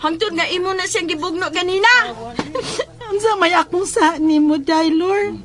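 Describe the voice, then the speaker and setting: Woman acting a very high-pitched schoolgirl bully. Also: unhurried, fairly bright tone. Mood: taunting. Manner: taunting, vain. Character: spiteful, boastful rich schoolgirl bully. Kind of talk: melodramatic audio drama acting.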